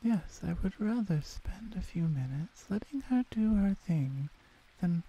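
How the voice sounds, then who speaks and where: A woman voicing a wary, protective orc huntress in an ASMR roleplay, soft and blunt with an unhurried delivery. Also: mid-range voice, expressive, husky, highs cut off. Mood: calm, explaining.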